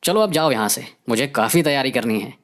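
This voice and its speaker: A woman voicing a cocky, bossy village man in an animated story, speaking in an emphatic, colloquial, scolding way. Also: rumbling low, a little fast, heavy background noise. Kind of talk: animated Hindi moral story dialogue. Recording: music behind the voice.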